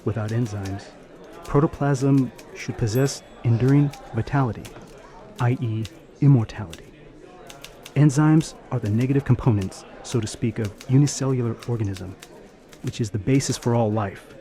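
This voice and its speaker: An actor playing a brisk, puzzled male police detective sergeant in a radio drama, with an emphatic delivery. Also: medium pitch, dark tone, lightly acted. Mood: emphatic, astonished.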